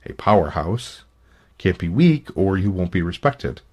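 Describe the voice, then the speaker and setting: A man voicing a measured, knowledgeable internet forum poster in an audiobook, explaining things. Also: low voice, big pitch swings, darkish tone, husky, full character voice. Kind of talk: audiobook character acting.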